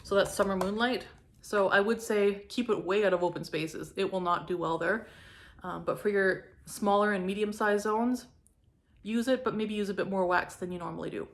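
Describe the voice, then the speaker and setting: A young woman from Canada chatting casually through a home fragrance review, gushing and filling her talk with likes and ums, her throat a little hoarse from a cold. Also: mid-range voice, moderate pace. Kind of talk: casual product review vlog.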